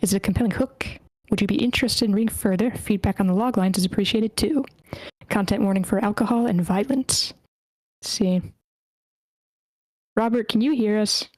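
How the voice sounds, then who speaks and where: महिला होस्ट, बिल्कुल सूखी, बिना गूंज वाली आवाज़. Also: female voice, medium pitch, normal speed, darkish tone, no echo, poor audio. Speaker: host of an online screenplay table read. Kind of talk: casual chat, moderating an online meeting. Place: online voice meeting, dry close sound.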